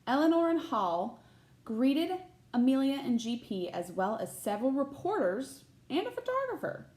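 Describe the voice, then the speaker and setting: A woman reading a children's picture book aloud like a teacher, pausing to put questions to young listeners. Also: sits high, very animated, darkish tone, echoey room. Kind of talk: expressive read-aloud of a children's picture book with chatty asides.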